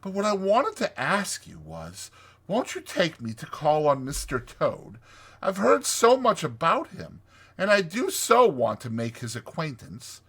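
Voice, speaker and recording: A man's voice: fairly high pitch, wildly swooping pitch, unhurried, fairly bright tone, husky, voice pushed up, heavily acted. A mild, polite mole from a storybook, acted by a man. He speaks in a raised, lilting voice, earnest and eager to please. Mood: eager, curious. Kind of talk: dramatized storybook reading.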